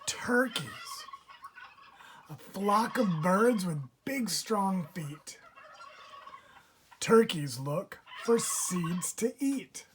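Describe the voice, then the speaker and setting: A woman reading a rhyming children's picture book aloud with measured, expressive phrasing for young listeners. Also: medium pitch. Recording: clear background noise, bird calls. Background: turkeys gobbling and clicks.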